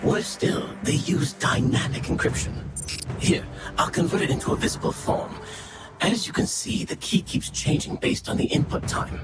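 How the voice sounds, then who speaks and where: A woman playing a careful, earnest, analytical young adventurer in a video game, explaining things in a thoughtful, measured way. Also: lightly acted. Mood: focused. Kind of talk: video game cutscene acting. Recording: loud music under the voice. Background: loud music.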